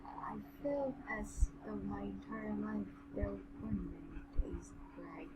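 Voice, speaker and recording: Woman as a soft, dying young girl, noisy recording. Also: medium pitch, dull audio, natural voice. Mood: sad resignation. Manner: soft, halting, sighing. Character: dying ugly young girl, sad, resigned, humble. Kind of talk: amateur audio drama reading. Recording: clearly noisy room recording.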